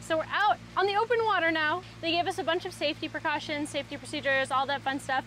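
High-voiced, upbeat woman vlogging outdoors. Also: fairly even intonation, fast, a bit bright, rough recording. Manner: upbeat, playful. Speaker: vlog host. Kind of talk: casual, chatty travel vlogging. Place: outdoors, mostly on a boat on a lake.

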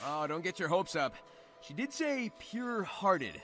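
A man's voice: high-pitched, sing-song, a little slow, pushed and bright, full character voice. A man voicing a cocky, upbeat teenage hedgehog hero in a video game, breezy and wisecracking. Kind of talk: animated video game cutscene acting. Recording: music under the voice.

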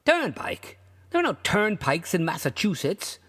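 A man voicing a bewildered, indignant lost male traveler in a storybook reading, with a high, strained, protesting voice. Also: very animated, normal speed, long reverb tail.

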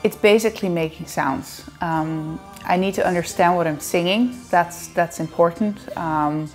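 Dutch lady on a couch answering fan questions, kinda low voice, chatty and jokey, a bit of music behind. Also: unhurried, darkish tone. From Netherlands. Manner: chatty, playful, laughs often. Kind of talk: casual chat to camera. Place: indoor living room, seated on a sofa.

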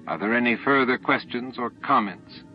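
A measured, falsely regretful alien commander, voiced by a male audiobook reader, speaks formally to his hive. The recording is muffled, with faint music behind. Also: mid-range voice, natural voice. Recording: dull lo-fi tape audio with music.

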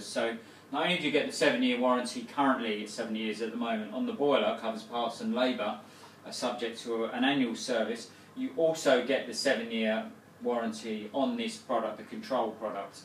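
A man's voice: mid-range voice, fairly bright tone, distant mic, a little hiss or hum. A man in his thirties who runs a plumbing business, casually explaining a new boiler in a small home kitchen, with lots of fillers and restarts. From England. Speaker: plumbing company owner. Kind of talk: casual product walkthrough. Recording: roomy kitchen sound, voice a little off-mic.

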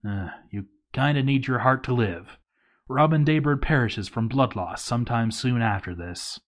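A man reading a written video game playthrough aloud as scripted narration, announcing events with some theatrical flair. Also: lowish voice.

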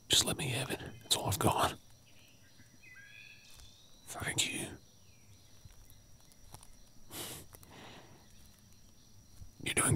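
Australian male actor for ASMR audio drama: tired, protective apocalypse survivor, whispered, urgent yet coaxing, sharp-edged. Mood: exasperated, then encouraging. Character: weary, protective male zombie-apocalypse survivor, gruffly hopeful. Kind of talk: whispered ASMR role play.

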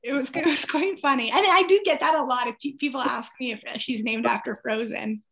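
Canadian woman in her 30s on a zoom call, high voice, chatty and giggly. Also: a little fast, creaky, a bit bright. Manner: bubbly, laughs often, chatty with fillers. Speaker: guest presenter. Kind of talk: casual video call chat. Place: indoor room at home. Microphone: video call on laptop.

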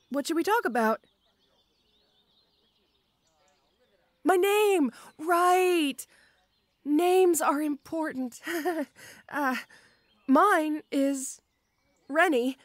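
A woman playing a shy, awkward, muscular tomboy orc schoolgirl in an audio roleplay, halting and stammering timidly. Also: high-pitched, dry recording. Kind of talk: roleplay audio acting addressed to the listener.

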